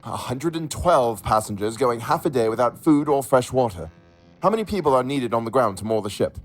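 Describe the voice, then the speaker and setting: A man playing a cautious, weary ship's captain, measured and authoritative, in an audio drama. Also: medium pitch, lively intonation, faint music behind, close to an everyday voice. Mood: grave, decisive.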